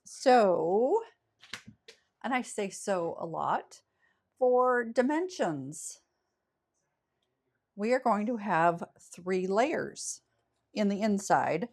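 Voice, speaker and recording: A woman with a scratchy voice from a summer cold walks viewers through a card-making project at a slow, unhurried pace. She talks in an easygoing, chatty way and often stops to correct herself. Her pitch sits in a middle range. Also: very animated, a little mellow. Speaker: craft channel host. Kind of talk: step-by-step craft tutorial.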